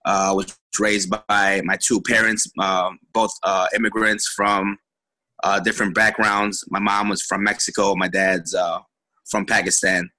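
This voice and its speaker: A man in his twenties from Chicago, telling his story as an interview guest, halting with many ums and restarts. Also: low-pitched, little pitch movement, sharp tone, no room sound. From Chicago, USA.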